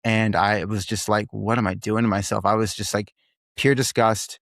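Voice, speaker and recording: A man's voice: lowish voice, level tone, normal speed, a bit bright, no room sound, studio quality. A man in his forties, a podcast guest telling his life story candidly and with self-deprecating humor, often restarting his sentences. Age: 40s.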